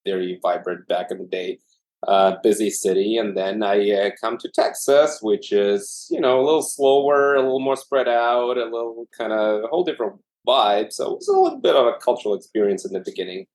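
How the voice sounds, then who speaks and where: A man from Russia, an anesthesiologist in his thirties, telling his career story in a relaxed way with lots of fillers. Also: fairly low pitch, brisk. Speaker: anesthesiologist guest. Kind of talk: casual interview conversation.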